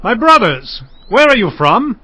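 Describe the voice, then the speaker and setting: An earnest young biblical suitor, with a high, forceful voice that swings widely. He declaims with feeling, as in a scripture audio drama with music. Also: very animated, a little slow, dry recording, exaggerated voice. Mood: friendly, eager. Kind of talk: dramatized audio Bible acting.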